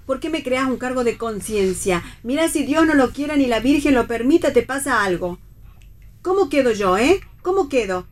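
A woman's voice: high voice, animated, normal speed. An Argentine woman playing a fretful, guilt-tripping, superstitious mother in a radio play reading. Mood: reproachful, fretful. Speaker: actress in a read-theatre radio play.

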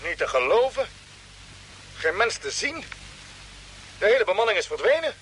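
Male space mission commander: high, bright voice, deliberate authority, noisy old radio drama. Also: sing-song. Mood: puzzled. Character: Jeff, male spaceship commander, decisive, cautious leader. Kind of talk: Dutch radio play acting.

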